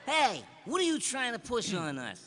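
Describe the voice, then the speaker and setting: A man's voice: very high-pitched, huge pitch swings, normal speed, full character voice. A man voicing a fussy, bossy, giggly cartoon uncle in a live script read. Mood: bossy, excitable. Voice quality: bright, forceful. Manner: exaggerated, breaking into giggles.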